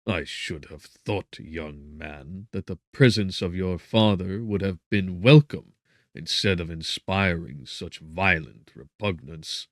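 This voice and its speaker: A man voicing a grave, benevolent magistrate in an audiobook, formal, measured and earnest. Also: deep voice, no room sound, studio quality. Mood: severe. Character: benevolent, grave male magistrate, sometimes severe. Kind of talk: audiobook character acting.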